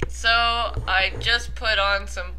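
A woman's voice: medium pitch, fairly even intonation, slow, noisy background. A young woman doing a casual mocking reading with commentary, giggly and swearing freely. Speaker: host of a comedic commentary reading.

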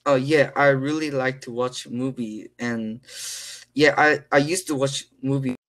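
A teenage boy who speaks English with a Korean accent, talking haltingly with many fillers while practicing on a video call from his room. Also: medium pitch, fairly even intonation, fairly bright tone. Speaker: English learner. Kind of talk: casual conversation practice. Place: indoors, small room at home.

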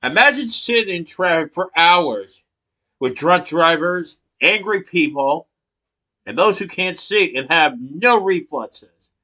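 Bright-voiced man giving a persuasive speech. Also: fairly high pitch, lively intonation, slow pace, low-quality recording. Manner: rhetorical questions, emphatic hand gestures. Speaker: student giving a class speech. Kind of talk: persuasive speech, partly read from notes. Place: small room indoors. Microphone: laptop webcam from below.

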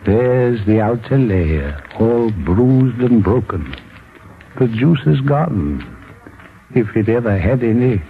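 An actor playing a ruined, bitterly self-mocking old man in a 1940s radio drama, theatrically musing aloud to himself, on a muffled vintage broadcast recording. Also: fairly low pitch.

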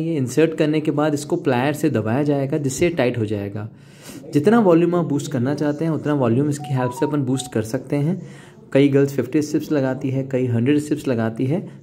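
Male Indian-accented presenter, mellow and slightly high, explaining a service promotionally with English words mixed in. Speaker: hair clinic presenter. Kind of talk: promotional demonstration narration.

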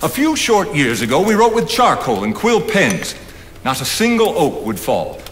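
An older actor playing an assured, provocative philosopher, eloquent and rhetorical in theatrical stage style. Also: male voice, a little high, wildly swooping pitch, moderate pace. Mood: challenging. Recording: reverberant theatre hall, background hiss.

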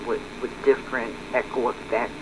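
A man talking casually and unscripted, halting and rambling, often repeating his words, as a hobbyist narrating his home video. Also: mid-range voice, flat delivery. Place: indoors at home. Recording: clear background noise.

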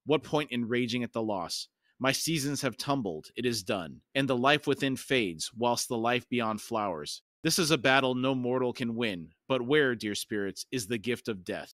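Man acting a despairing old woman, forceful and anguished. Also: mid-range voice, animated, normal speed, dry recording, clean studio sound, lightly acted. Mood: despairing resignation. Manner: anguished, dramatic pleading. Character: the Maib, frail withered old woman, bitter, despairing, pleading. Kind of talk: audiobook-style fantasy storytelling.

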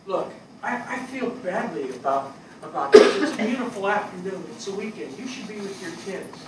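A man on stage acting a grieving, apologetic fire captain, speaking haltingly in a dramatic reading. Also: sits high, lively intonation, over a noisy crowd. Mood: awkward, apologetic. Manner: halting, hesitant. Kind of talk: theatrical dialogue read on stage. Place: indoor small theatre stage. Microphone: far camera. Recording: echoey theatre, distant mic, noisy.

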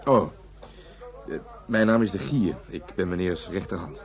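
A man's voice: deep voice, lively intonation, moderate pace. An actor playing a loyal police sergeant in a radio drama, polite, discreet and deferential to his boss. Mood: polite, reserved. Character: loyal, dutiful male police sergeant posing as right-hand man.

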